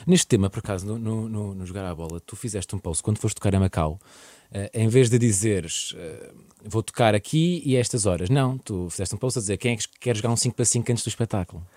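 Low, relaxed Portuguese podcast host. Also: male voice, quick pace, no echo. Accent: European Portuguese. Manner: relaxed, teasing questions. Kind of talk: casual interview.

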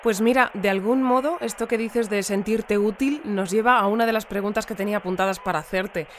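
Actress for audio-drama bulletin presenter: polite, wordy, earnest female voice of medium pitch, slight noise behind. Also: fairly quick, lightly acted. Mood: probing, polite. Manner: courteous, wordy, explanatory. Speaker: news presenter and interviewer.

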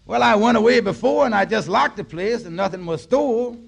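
Trusting family man, 1940s radio comedy: high, husky voice, folksy ungrammatical lines. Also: lively intonation, a little fast, heavily acted. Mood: plain, trusting. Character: mild, trusting, honest working family man. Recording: vintage 1940s radio broadcast.

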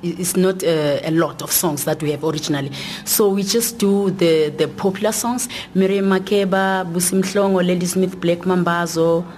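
Halting woman singer. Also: lowish voice, fairly even intonation, darkish tone, slight background noise. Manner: halting, with fillers. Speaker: a cappella singer. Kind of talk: casual interview talk.

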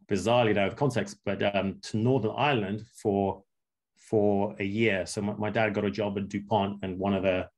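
A man in his thirties, raised in England after an early childhood in Russia, answering interview questions in a thoughtful, halting way with many fillers and restarts. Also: on the low side. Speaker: entrepreneur guest.

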